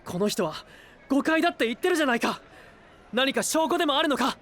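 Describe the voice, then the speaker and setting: A man's voice: very high-pitched, animated, fast, a bit bright. A male actor playing an earnest, hot-blooded young knight in an anime audio drama, speaking with sincere, idealistic conviction. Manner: impassioned, sincere pleading.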